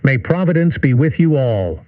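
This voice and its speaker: A man voicing a formal emergency broadcast announcer for a horror series, reading warnings in a flat official manner. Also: mid-range voice, normal speed, natural voice. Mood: ominous. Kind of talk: played clip from an analog horror series. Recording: tinny lo-fi broadcast with music.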